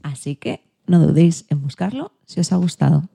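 A woman's voice: sits low, moderate pace, creaky, warm tone, slightly rough. A woman with an accent from Spain, presenting scripted podcast segments. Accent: Spanish (Spain).

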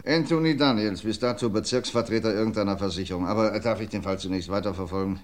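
An actor playing a methodical police inspector with dry wit, in 1950s radio crime drama style, measured and reading out case files. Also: male voice, fairly low pitch, close to an everyday voice. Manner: measured, reads out files, wry asides.

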